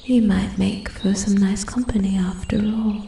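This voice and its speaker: Woman acting as ancient female forest spirit, dark mellow tone, soft and whispery, haughty, possessive, formal ASMR roleplay, roomy echo, birdsong behind. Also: medium pitch, steady tone, a little hiss or hum. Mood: intrigued, condescending. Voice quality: soft, breathy, often dropping to a whisper. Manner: slow, measured, formal and condescending. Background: ambient forest sounds, birdsong.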